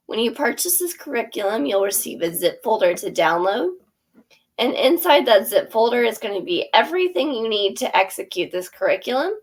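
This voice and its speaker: A woman with a gravelly edge to her voice talks viewers through her teaching materials as a voice-over on a screen share. Her pitch sits in a middle range. She keeps a friendly instructional tone, with frequent ums and quick self-corrections along the way. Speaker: theater teacher presenting her curriculum. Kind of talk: screen-share product walkthrough.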